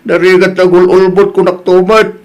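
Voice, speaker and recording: A man's voice: sits high, level tone, normal speed, rough recording. A man with an Ilocano accent playing an exasperated village husband who admonishes others in an amateur audio drama. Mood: admonishing. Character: chiding village husband urging humility and repayment.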